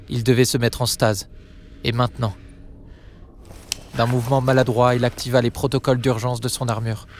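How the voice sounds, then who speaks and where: A man narrating a dramatic audio story in a grave, measured manner. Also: medium pitch, slight background noise.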